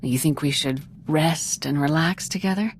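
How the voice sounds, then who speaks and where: An actress playing a confident, steady military squad commander with a dry teasing streak in a video game. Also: female voice, low-pitched, moderate pace, a little hiss or hum. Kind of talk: video game dialogue acting. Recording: music behind the voice.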